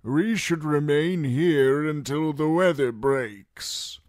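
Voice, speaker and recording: A man's voice: on the high side, slow, no room sound, clean studio sound, pitched above the performer's own voice. A man voicing a cadaverous, cautious old knight who speaks thinly and insistently. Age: older.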